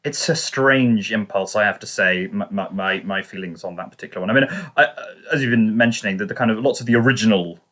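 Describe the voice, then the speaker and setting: A man co-hosting a podcast, giving his opinions in a hesitant, measured and politely tentative way. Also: fairly low pitch, fairly bright tone. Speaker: podcast co-host. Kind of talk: casual discussion.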